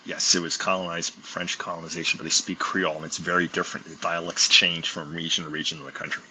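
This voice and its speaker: Male panelist: low, rapid, casual commentary with faint noise behind. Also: level tone, pushed and bright, poor audio.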